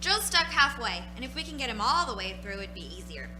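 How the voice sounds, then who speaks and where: A woman's voice: fairly high pitch, sing-song, brisk, music in the background. A woman acting an impatient, secretive little girl in a radio play. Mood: eager, brisk.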